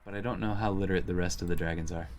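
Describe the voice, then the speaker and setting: A man's voice: low-pitched, fairly even intonation, racing. A man giving casual gameplay commentary, thinking aloud as he plays. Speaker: host playing a card game.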